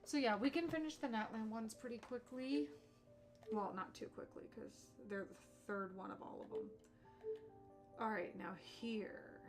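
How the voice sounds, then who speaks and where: A woman's voice: a little high, unhurried, over music. A woman livestreaming games in casual, slangy chat, chatty and opinionated as she talks to viewers. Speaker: streamer, content creator.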